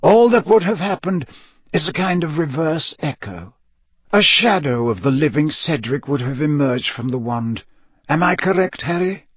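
A male narrator puts on an elderly wizard headmaster for a storybook reading. The voice is raised high, slow and measured, weary yet grave and wise. The recording is slightly dull and husky. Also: expressive, dry recording. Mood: solemn, measured. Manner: slow, measured, solemn delivery.

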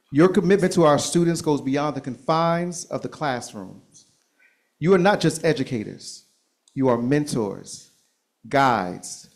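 Man giving a formal ceremonial speech. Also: a little high. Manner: measured, ceremonious, emphatic delivery. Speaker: school district speaker. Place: board meeting room.

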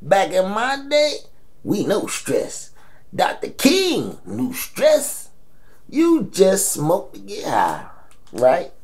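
A man's voice: high-pitched, huge pitch swings, drawn-out words, a little mellow, heavily acted. A man playing a blunt, teasing old American grandfather in an audio drama, salty and slangy as he scolds. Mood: scolding.

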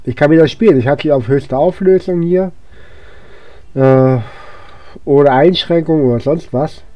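A hoarse man with a cold giving casual, rambling gameplay commentary, with lots of fillers and restarts. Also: fairly high pitch, a little slow, dry recording, highs cut off. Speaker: let's play gamer.

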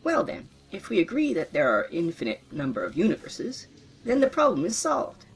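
A man voicing an excitable dying old philosopher who argues eagerly in a high, lively voice. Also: normal speed, slight background noise, heavily acted. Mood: excited.

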